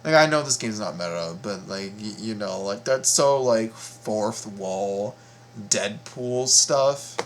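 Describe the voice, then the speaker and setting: A man giving casual, excitable fan commentary in a halting, repetitive way, full of exclamations. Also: fairly low pitch, slight background noise. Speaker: YouTube reactor and fan commentator.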